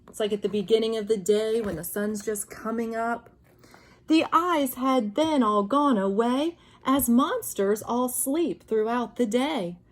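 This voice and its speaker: A woman with a high-pitched voice reads a story to young children. Her delivery is slow and expressive, with a sing-song lilt. She speaks directly to her little listeners as a teacher would. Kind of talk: read-aloud for young children.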